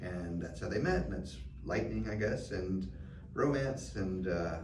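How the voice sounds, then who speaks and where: Reflective middle-aged man, lowish voice. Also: expressive, a little slow. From Canada. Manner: reflective, many fillers and restarts. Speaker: guest. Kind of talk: conversational interview answers. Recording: roomy, distant mic, background noise.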